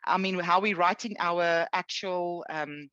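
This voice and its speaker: A middle-aged South African woman, Afrikaans her first language, chatting freely and warmly as a podcast guest. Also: lowish voice, a little slow, no room sound, dull audio. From Eastern Cape, South Africa. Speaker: guest, HR executive. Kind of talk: podcast interview.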